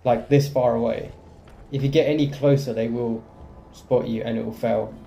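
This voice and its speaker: A man's voice: medium pitch, fairly even intonation, normal speed, creaky, warm tone. A man giving casual gameplay commentary as the host of a gaming video.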